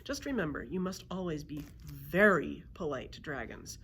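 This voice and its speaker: A woman's voice: low-pitched, expressive, normal speed, fairly bright tone, slight background noise. A woman voicing a kind, patient young girl in a children's storybook, encouraging and careful. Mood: cautious, friendly. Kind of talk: children's storybook read-aloud.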